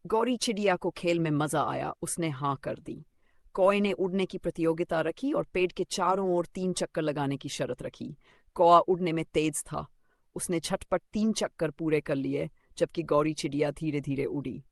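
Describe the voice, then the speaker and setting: Creaky-voiced children's story narrator. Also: mid-range voice, moderate pace, no echo, clean studio sound.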